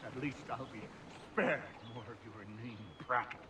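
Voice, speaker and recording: An actor playing a proud, indignant gentleman held captive in a video game, haughty, emphatic and biting. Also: medium pitch, moderate pace, background noise, natural voice. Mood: bitter scorn. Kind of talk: video game cutscene acting.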